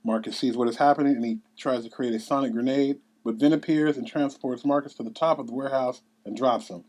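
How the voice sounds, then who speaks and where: Man, mid-pitched voice, script narrator, reading action lines, table read delivery. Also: fairly even intonation, quick pace, a little mellow. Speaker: narrator reading stage directions.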